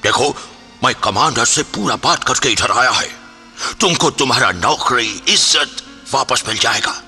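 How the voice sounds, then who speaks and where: An actor in a radio play voicing a pompous British army officer and old mentor, with a gravelly voice, drawn-out theatrical delivery and broken, British-accented Hindi. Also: male voice, mid-range voice, animated, sharp tone, full character voice. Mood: urging, persuading. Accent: put-on British accent in Hindi. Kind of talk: radio drama acting. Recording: radio play with music underneath.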